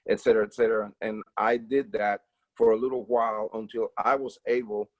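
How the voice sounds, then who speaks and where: Halting Dominican-accented man being interviewed. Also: fairly low pitch, flat delivery, lo-fi sound. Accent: Dominican Spanish. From Dominican Republic. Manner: halting, with very frequent fillers and repeated words. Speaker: interview guest, percussion educator. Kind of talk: casual interview answers.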